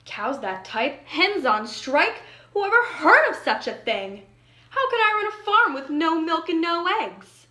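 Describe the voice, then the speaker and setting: A woman voicing an indignant, demanding male farmer in a children's storybook, with emphatic, drawn-out exclamations. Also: very high-pitched, sing-song, bright, forceful tone, reverberant, voice pushed up, full character voice. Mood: furious. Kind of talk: children's storybook read-aloud.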